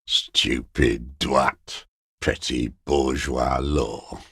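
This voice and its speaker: An actor playing a bitter, dying old communist deserter in a video game, raspy and contemptuous, given to cursing and ideological scorn. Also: male voice, rumbling low, steady tone, very slow, fairly bright tone, no room sound, studio quality, heavily acted. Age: older. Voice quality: gravelly, raspy. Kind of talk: dramatic video game voice acting.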